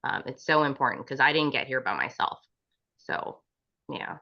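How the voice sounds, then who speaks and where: A woman speaking as a panel guest, gushing and quick, full of "like" and restarts. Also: rumbling low, animated, vocal fry. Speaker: panel guest, business owner. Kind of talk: casual interview talk. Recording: dull lo-fi recording.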